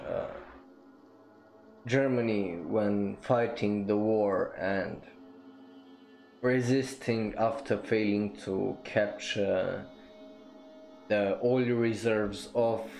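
Slow, gravelly-voiced man rambling through history. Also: on the low side, a little mellow, rough recording. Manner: rambling, many fillers and restarts, blunt swearing. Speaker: podcast host. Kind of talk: casual history talk.

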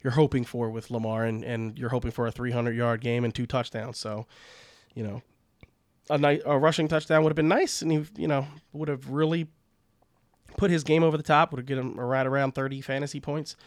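A man's voice: medium pitch, steady tone, fairly quick, no room sound. A man with a cold, sounding congested, recapping football games for fantasy players in a casual, rambling way full of fillers and restarts. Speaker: fantasy football podcast host. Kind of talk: casual solo sports recap.

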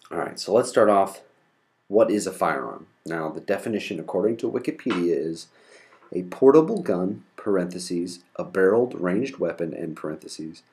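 A man's voice: low-pitched, a little mellow. A man hosting a podcast and explaining basics step by step, congested from a cold. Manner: methodical, defines terms step by step. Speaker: podcast host.

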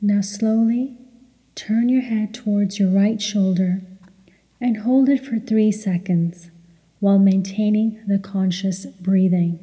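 A woman leading a guided meditation, giving measured, soothing instructions and recitations. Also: mid-range voice, fairly even intonation, warm tone. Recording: long hall-like reverb.

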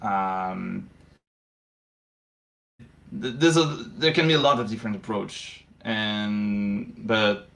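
A man's voice: fairly low pitch, slow pace, vocal fry, pauses often, a bit bright. A man answering interview questions as a game designer, hesitant, with many fillers and restarts. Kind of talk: casual podcast interview.

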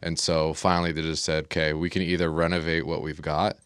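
A man's voice: a bass voice, flat delivery, quick pace, a bit bright, clear, resonant tone, no echo, clean studio sound. A man with an American accent, speaking as an interview guest and explaining things in a plain, casual way. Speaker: interview guest, air traffic control specialist.

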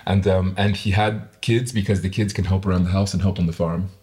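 A man interviewing in a casual conversation, speaking reflectively and haltingly, often reworking his sentences as he goes. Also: low-pitched, fairly even intonation, darkish tone. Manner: reflective, halting, self-correcting.

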